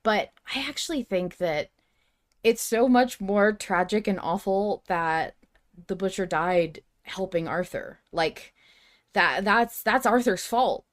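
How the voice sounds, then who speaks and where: A woman's voice: medium pitch, slow pace. A woman co-hosting a podcast in casual chat, speaking emphatically and with strong opinions, swearing freely. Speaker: podcast co-host. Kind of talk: casual fan discussion chat.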